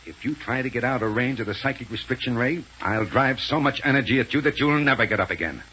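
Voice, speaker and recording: An actor playing a cold, domineering villain in a 1930s radio serial, giving curt, menacing commands. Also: male voice, medium pitch, fast talker, sharp tone, close to an everyday voice. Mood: threatening. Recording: dull, noisy 1939 radio recording.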